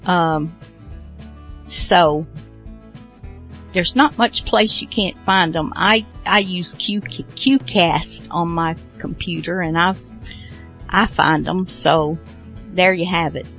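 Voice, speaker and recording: A woman's voice: on the low side, takes it slowly, pauses often, fairly bright tone, faint music behind. A woman hosting a radio show, chatting casually in a rambling, self-deprecating way as she introduces programs.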